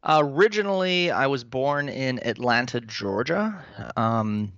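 A man's voice: mid-range voice, a little slow, clear, resonant tone, no room sound, lo-fi sound. A man in his thirties from Florida, a civil servant chatting as a radio guest, easygoing and full of fillers. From Florida, United States. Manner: relaxed, hesitant with many fillers. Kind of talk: casual radio interview.

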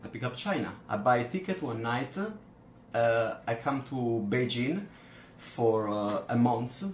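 A man from Milan with an Italian accent, a podcast guest speaking halting, simple English with many fillers. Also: mid-range voice, echoey room, a little hiss or hum. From Milan, Italy.

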